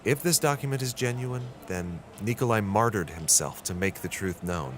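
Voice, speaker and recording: Casting: male actor playing frustrated, brooding American reporter, 1960s radio drama, deep-ish voice, reflective narration, ambient noise behind. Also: animated, moderate pace, lightly acted. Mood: brooding, discouraged. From United States. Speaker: reporter narrating an audio diary.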